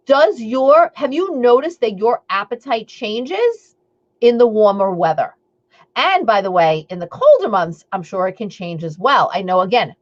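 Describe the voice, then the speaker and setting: A woman's voice: on the high side, muffled recording. Chatty woman with a Long Island accent. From Long Island, New York. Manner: chatty, upbeat, rambling with fillers and asides. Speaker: intuitive eating coach hosting a live stream. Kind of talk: casual live-stream talk to viewers.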